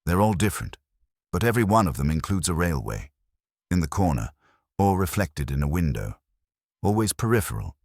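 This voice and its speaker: Man reading as a deep, husky, grave inspector. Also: sing-song, a little mellow, no echo, clean studio sound, full character voice. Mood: calm, observant. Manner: terse, measured, grave. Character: calm, terse male British police inspector, grave and shrewd.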